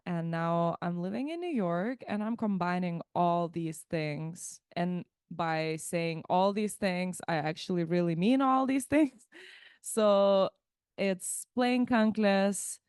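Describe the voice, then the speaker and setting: Smooth-voiced woman from Lithuania. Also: on the low side, a little slow, a little mellow, no room sound, studio quality. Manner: thoughtful, restarts words mid-phrase, laughs lightly. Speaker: guest musician. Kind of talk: casual interview chat.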